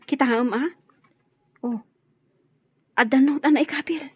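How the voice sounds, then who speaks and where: A woman's voice: sits high, moderate pace, darkish tone, husky, no room sound. A woman playing a teasing, nosy friend and confidante in a radio drama. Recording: muffled, lo-fi radio drama audio.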